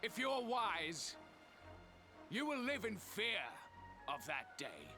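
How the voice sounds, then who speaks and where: A man's voice: high voice, huge pitch swings, takes it slowly, over music, heavily acted. A man voicing a sinister, gloating villainous knight in a video game, speaking in a haughty, theatrical, menacing manner.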